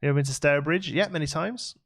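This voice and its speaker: A man's voice: on the high side, fast, a bit bright. A man with a West Midlands accent from Staffordshire, chatting casually as he streams a game and answers viewers from his home setup. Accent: West Midlands English. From Staffordshire, England. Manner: chatty and easygoing, frequent restarts and fillers. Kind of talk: casual live-stream chat, reading and answering chat. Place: home streaming room with green screen.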